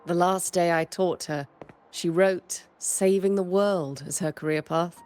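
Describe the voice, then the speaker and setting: Game role, actress: world-weary female instructor, slightly low register, stern, composed and dry, soft music underneath. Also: steady tone, unhurried, lightly acted. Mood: wry, wistful. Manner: composed, dry, matter-of-fact. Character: stern, dry, world-weary former teacher, adult woman. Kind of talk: scripted game dialogue.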